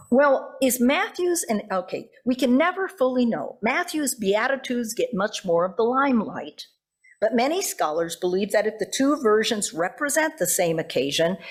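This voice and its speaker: A woman's voice: medium pitch, husky. A woman pastor preaching a reflective, explanatory sermon, moving between notes and spoken asides and posing rhetorical questions. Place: church service.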